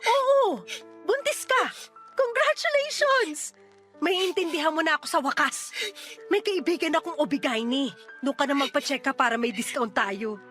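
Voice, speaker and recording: A woman playing a feisty, joking, devoted single mother of about forty in a Filipino radio drama, with a breezy, teasing, expressive delivery and a Filipino accent. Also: high voice, normal speed, soft background music.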